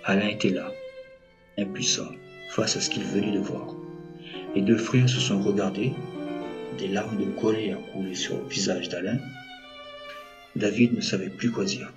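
Male narrator, lowish pitch, scripted fiction storytelling, measured short phrases, background music. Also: steady tone.

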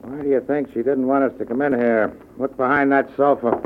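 Actor as blunt police sergeant, noisy old radio. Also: male voice, mid-range voice, steady tone, fairly quick, lightly acted. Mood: stern. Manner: blunt, impatient. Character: skeptical, gruff-mannered male police sergeant. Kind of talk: vintage radio detective drama acting. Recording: tinny old radio with loud noise.

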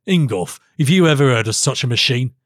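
A man voicing an imperious, quick-tempered king with a gruff, commanding and abrupt delivery, as in a storybook reading. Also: medium pitch, lively intonation, dry recording, clean studio sound, lightly acted. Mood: sharp, suspicious. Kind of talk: audiobook character voice.

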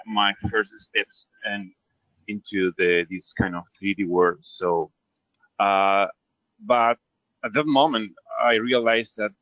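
An Argentine man, native in Spanish, talks in a fairly low voice with an Argentine accent. He is a casual, self-effacing interview guest who hesitates as he searches for words. The sound is dry and slightly dull. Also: fairly bright tone, dry recording. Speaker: interview guest, 3D character artist. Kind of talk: casual conversational storytelling.

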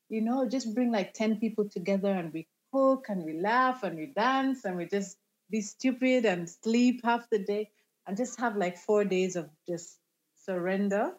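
A woman's voice: medium pitch, a little mellow, lo-fi sound. A woman guest in a casual podcast interview, talking animatedly and laughing easily.

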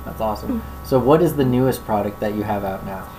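A woman's voice: very deep voice, animated, quick pace, dark tone, slight background noise, rough recording. A woman from Florida hosting a casual interview, easygoing and enthusiastic, with slangy talk. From Florida, USA. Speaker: interviewer, host.

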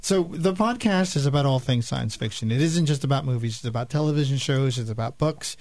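A man's voice: mid-range voice, fairly quick, darkish tone, no room sound. A man co-hosting a radio show, explaining things in a casual, conversational way. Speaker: radio show and podcast co-host.

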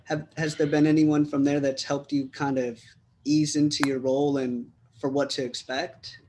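A man's voice: fairly high pitch, flat delivery, normal speed, a little mellow, a little hiss or hum. A man from the United States interviewing a footballer, asking long, rambling questions in a chatty way.

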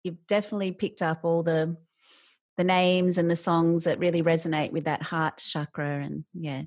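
A woman hosts a casual interview. She is chatty and encouraging, with a somewhat low voice. The sound is dry and close. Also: flat delivery, normal speed, a little mellow, dry recording. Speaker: interviewer host.

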